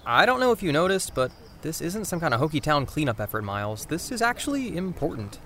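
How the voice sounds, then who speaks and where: A man's voice: a little high, very animated, a little fast, pushed and bright, a little hiss or hum, full character voice. A man playing a sceptical, sardonic teenage boy in a naturalistic audio drama, cautious and questioning. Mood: wry.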